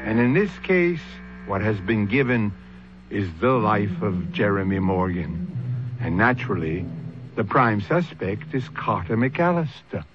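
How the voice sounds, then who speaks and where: A man hosting an old-time radio mystery, narrating in a measured, dramatic, portentous way. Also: lowish voice, very animated. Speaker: host and narrator. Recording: dull old radio recording with loud hum.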